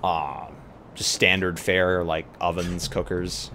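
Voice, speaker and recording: A man with a low voice talks casually as a player in a tabletop roleplay game. He describes his character's moves in an offhand, conversational way, with some background noise on his microphone. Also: flat delivery, slow pace.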